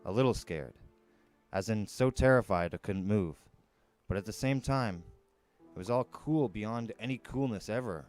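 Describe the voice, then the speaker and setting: A man with a low voice reads a first-person adventure story as a storyteller would, with dramatic delivery. Music plays faintly behind him. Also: normal speed, a little mellow. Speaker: storyteller reading an audiobook.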